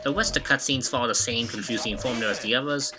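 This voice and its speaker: A man's voice: medium pitch, fast. A young man narrating a scripted, joking video retrospective with a wry, mock-dramatic manner. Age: young adult. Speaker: YouTube retrospective host.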